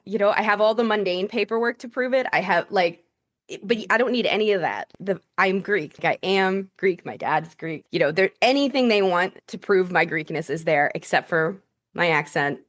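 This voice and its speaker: A young adult woman from the United States speaks with a slightly low, dry-sounding voice. She talks candidly and animatedly, sprinkling in fillers as she opens up about her experiences. The sound is close with no room around it. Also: dry recording. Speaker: guest, priestess and author. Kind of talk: casual conversational interview.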